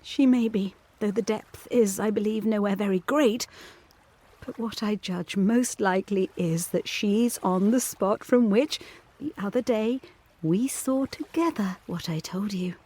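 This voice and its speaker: A woman's voice: mid-range voice, measured pace, mellow, natural voice. A woman playing an anxious, obsessive governess in a gothic audio drama, speaking formally and intensely. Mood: grave certainty. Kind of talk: audio drama acting, period literary dialogue. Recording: music playing behind the voice.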